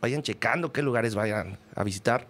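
A man's voice: mid-range voice, normal speed, fairly bright tone. A Mexican man on a panel giving tips in casual, conversational talk. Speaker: panel guest.

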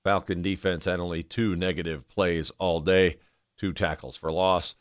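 A man's voice: low-pitched, level tone, moderate pace, no echo, clean studio sound. A man narrating a scripted sports recap as a broadcaster. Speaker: sports broadcaster and show host.

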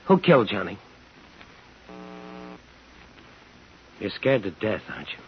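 A man's voice: on the low side, huge pitch swings, fast talker, a little hiss or hum. An actor playing a determined, probing homecoming serviceman, terse and hard-boiled, in 1950s radio thriller style. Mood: cool, probing. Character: determined, probing man in uniform, bitter homecomer. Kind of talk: 1950s radio thriller acting and first-person narration. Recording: dull 1950s radio recording.

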